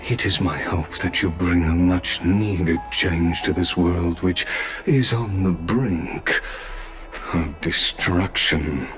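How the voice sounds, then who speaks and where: An actor playing a composed, knowing guide in a video game, with a formal, solemn and measured delivery. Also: male voice, sits low, fairly bright tone. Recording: background music.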